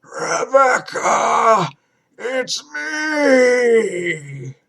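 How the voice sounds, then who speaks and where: A man voicing a monstrous possessed young man in a horror story, desperate and obsessively devoted, calling out in a strained, slow, drawn-out voice. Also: extremely high pitch, sing-song, vocal fry, bright, forceful tone, voice pushed up, exaggerated voice. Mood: desperate, insistent.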